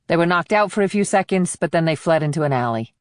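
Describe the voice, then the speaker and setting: A woman's voice: fairly low pitch, lively intonation, fast talker, no echo, studio quality, lightly acted. A woman voicing an insistent, businesslike female investigator in audiobook dialogue, firm and explanatory.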